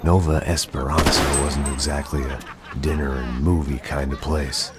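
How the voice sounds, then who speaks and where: A world-weary American gunman narrates a noir video game in an extremely low, gravelly voice. He is bitter and deadpan, brooding with dry sarcasm over music. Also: moderate pace. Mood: wry, deadpan. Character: world-weary, bitter middle-aged male ex-cop turned gunman. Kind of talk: scripted hard-boiled noir game narration. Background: background music.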